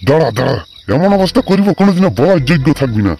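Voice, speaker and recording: A man's voice: on the high side, animated, rapid-fire, gravelly, very noisy. A man voicing a bossy, scolding village father of two grown sons in a comic cartoon. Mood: angry, scolding. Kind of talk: comic cartoon dialogue in Bengali.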